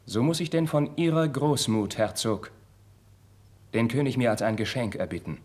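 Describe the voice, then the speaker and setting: A fervent young crown prince, acted by a man, pours out his words in grand theatrical declamation. The voice sits at a middle pitch with a husky grain. The style is that of a 1950s radio classic, proud, idealistic and wounded. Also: moderate pace, darkish tone, lightly acted. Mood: courteous, restrained. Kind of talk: 1950s German radio drama acting.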